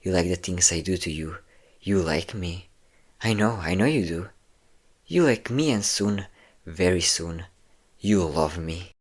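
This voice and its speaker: A man playing a deep-voiced taunting captor. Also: animated. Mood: taunting, menacing. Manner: taunting, mocking questions, slow menace. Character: menacing possessive male captor, taunting and controlling.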